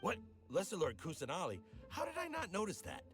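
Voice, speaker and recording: A man's voice: high voice, wildly swooping pitch, fairly quick, faint music behind, full character voice. A man playing an unobservant, muttering guard on patrol in a video game. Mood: startled.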